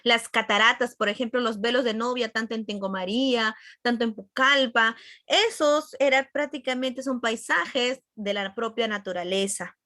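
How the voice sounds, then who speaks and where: A woman's voice: on the high side, normal speed, bright, forceful tone, no room sound, clean studio sound. A woman with a Peruvian accent teaching a class conversationally, repeating key points and checking in with her listeners. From Peru.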